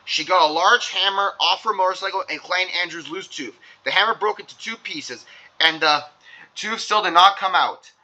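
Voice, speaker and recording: A man's voice: sits high, moderate pace, pushed and bright, rough recording. A young man narrating a children's storybook aloud. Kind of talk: animated read-aloud narration.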